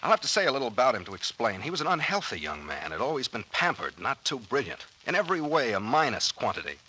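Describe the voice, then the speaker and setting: Confident detective captain, vintage radio serial, lively pitch swings, forward bright tone, brisk storyteller. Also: male voice, slightly rough, full character voice, low-quality recording. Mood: explaining, assured. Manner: brisk, slangy, expository. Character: confident male detective captain explaining a mystery. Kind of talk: 1940s radio drama acting.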